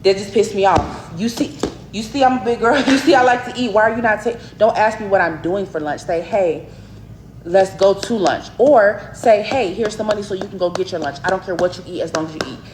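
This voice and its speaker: A woman's voice: medium pitch, brisk, noisy background. Exasperated woman ranting in an echoey room. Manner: exasperated, emphatic. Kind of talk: casual rant to camera.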